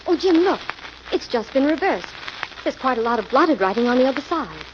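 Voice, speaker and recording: On a dull, noisy old radio recording, an eager young woman assisting a detective. Her voice is fairly high, and she speaks with earnest curiosity in 1940s mystery-drama style. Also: sing-song, a little fast. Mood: puzzled, intrigued. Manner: earnest, inquisitive.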